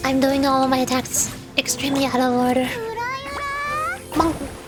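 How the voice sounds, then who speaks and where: A woman's voice: high voice, completely flat delivery, moderate pace. A woman livestreamer chatting casually as she plays a game, with a playful, rambling manner. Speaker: VTuber game streamer. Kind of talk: casual livestream chat while gaming. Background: game music.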